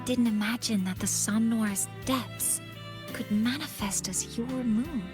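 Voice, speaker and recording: A woman's voice: on the high side, slow, music in the background. An actress playing a cocky, spirited young student girl in anime-style game dialogue, playful and breezy. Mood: matter-of-fact.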